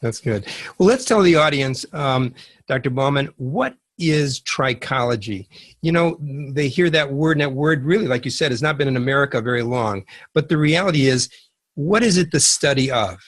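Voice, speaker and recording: A man's voice: mid-range voice, expressive, moderate pace, a bit bright, no echo. A man speaks as an interview host, with a middle-range voice. The sound is dry and close, with no room on it, as he presents and asks questions. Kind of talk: scripted introduction and interview questions.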